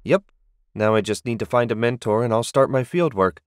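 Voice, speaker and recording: A man's voice: medium pitch, brisk, dry recording, studio quality, natural voice. A man voicing an earnest, modest young male sorcerer with a plain, polite manner. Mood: easygoing.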